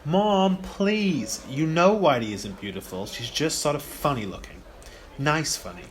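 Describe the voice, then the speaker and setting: An amateur actor playing an awkward, placating college student son in a radio play, hesitant, plain-spoken and apologetic. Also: male voice, fairly high pitch, lively intonation, measured pace, a little hiss or hum. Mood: uneasy.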